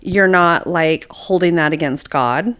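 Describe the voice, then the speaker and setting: A woman's voice: lowish voice, a little slow, fairly bright tone. A woman hosting a podcast, encouraging her guest and often asking "you know what I mean?". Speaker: podcast host. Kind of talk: casual interview chat.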